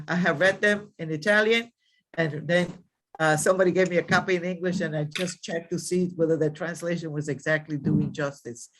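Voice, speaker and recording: A woman's voice: sits low, slight background noise. An older woman from the Dominican Republic, a college president giving a rambling ceremonial speech over a video call, with many fillers and restarts.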